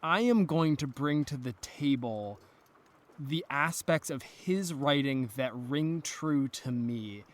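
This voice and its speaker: A man talking in an earnest, measured way as a guest in a panel discussion, choosing formal words. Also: a little high, animated. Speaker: interview guest. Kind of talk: articulate, considered panel discussion.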